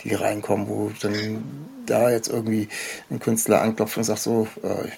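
A man's voice: on the low side, little pitch movement, normal speed, creaky, darkish tone, a little hiss or hum. A man hosting a podcast in a casual interview style, feeling his way through his phrasing. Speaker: podcast host.

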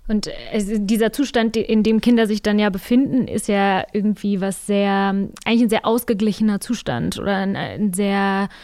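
A woman's voice: mid-range voice, moderate pace, hardly any pauses, darkish tone, dry recording, studio quality. A woman interviewing a guest on a podcast, phrasing her questions carefully and tentatively in a conversational style.

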